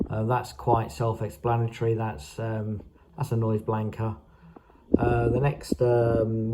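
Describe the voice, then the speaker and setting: A man giving a casual, unscripted product walkthrough in a halting way, often repeating himself as he explains. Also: medium pitch, background noise. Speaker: hobbyist presenter.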